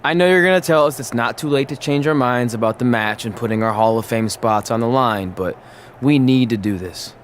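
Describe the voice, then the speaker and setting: A man's voice: mid-range voice, brisk, a little hiss or hum, close to an everyday voice. A man playing a wry, loyal pro wrestler with a dry, teasing manner in a game cutscene. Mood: sincere. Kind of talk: video game cutscene acting.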